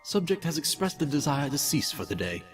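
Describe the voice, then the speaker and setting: A man's voice: a little high, very animated, brisk. A man voicing a cold, detached mad scientist who pompously dictates cruel experiment notes in a fan-made audio drama. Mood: clinical, detached. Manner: clinical, detached, pompous, menacingly polite. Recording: distant voice with music behind.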